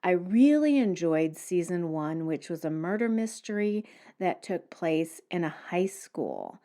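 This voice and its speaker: A woman's voice: lowish voice, very animated, unhurried, dark tone. A woman hosting a solo podcast, explaining things in a conversational way. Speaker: podcast host.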